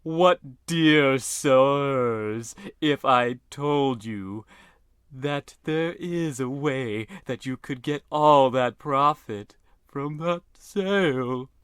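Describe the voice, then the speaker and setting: A sly radio-play villain acted by a man, an innkeeper who draws his words out very slowly in a clean, resonant voice. He is courtly and oily, a theatrical schemer out to recruit his victims. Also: medium pitch, a bit bright, clear, resonant tone, no room sound, clean studio sound. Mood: sly coaxing. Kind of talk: parody of old radio drama.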